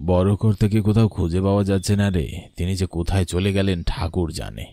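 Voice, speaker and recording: A man voicing a loyal old cowherd in a cartoon, low, weary and fretful, with music behind him. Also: a little fast, dark tone, no room sound. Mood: worried. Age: older. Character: worried, loyal old male cowherd on a farm. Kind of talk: cartoon voice acting.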